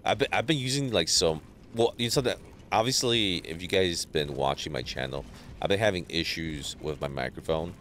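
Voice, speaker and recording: A man streaming a game live, chatting casually with viewers and greeting them by name, sounding a little stuffy from allergies, on a boom mic. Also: normal speed, hardly any pauses, a little hiss or hum. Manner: greets chat viewers, relaxed banter. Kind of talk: casual live gameplay chat.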